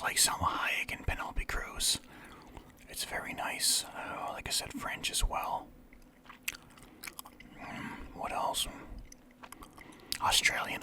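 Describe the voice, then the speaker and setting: Whispering man with lively rises and falls. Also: extremely high pitch. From Canada. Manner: whispering throughout, casual and rambling. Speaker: vlogger. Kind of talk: whispered ASMR list chat.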